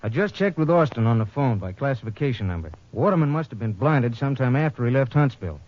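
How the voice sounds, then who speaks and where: An American man playing a brisk, businesslike police lab technician in a 1950s radio drama. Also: mid-range voice, lively intonation, close to an everyday voice. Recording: muffled old radio broadcast.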